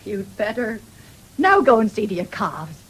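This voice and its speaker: An actress in a 1970s radio drama playing a stern, stubborn farming widow who is blunt and forthright. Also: female voice, medium pitch, big pitch swings, measured pace, husky. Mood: firm, consoling. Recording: noisy old radio drama recording.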